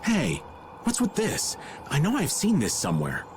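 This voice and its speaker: A man's voice: fairly high pitch, huge pitch swings, moderate pace, over music. A man voicing a goofy, whining middle-aged adventurer with hesitant, theatrical delivery in an anime video game dub. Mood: wry, uneasy.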